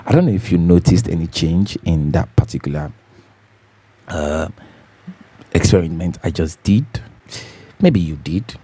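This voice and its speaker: A man with a deep, bassy voice hosting a home recording tutorial, talking casually and repeating his key points as he checks in with viewers. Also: a little slow, long pauses, warm tone, breathy edge. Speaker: host of a home recording channel. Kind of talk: casual tutorial talk to camera. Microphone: studio condenser microphone.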